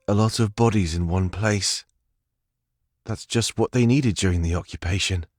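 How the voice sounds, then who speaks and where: Earnest, low-voiced loyal companion. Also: male voice, dry recording. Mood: somber. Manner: heartfelt, emotional, confiding. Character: earnest, haunted young male loyal companion to a monarch. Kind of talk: scripted visual novel character dialogue. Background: background music.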